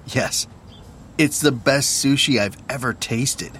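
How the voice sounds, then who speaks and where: A man who announces the tracks and reads the lines of a course dialogue in a slow, careful manner. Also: mid-range voice, fairly bright tone, a little hiss or hum. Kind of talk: scripted textbook audio reading.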